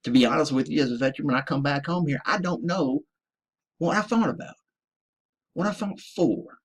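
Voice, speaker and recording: A man's voice: on the high side, brisk, breathy edge. Profane, ranting American veteran man. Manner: profane, emphatic, addresses listeners as ladies and gentlemen. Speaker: military veteran. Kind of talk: ranting monologue.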